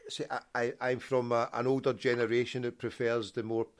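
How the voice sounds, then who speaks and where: A man's voice: medium pitch, level tone, brisk. A man with a Scottish accent making a prank phone call, rambling and stammering as he talks. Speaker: prank caller.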